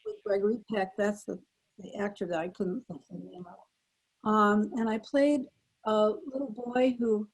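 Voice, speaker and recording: A woman's voice: medium pitch, steady tone, moderate pace, husky, no room sound, low-quality recording. A woman in her eighties, a former actress, reminiscing casually as a panel guest.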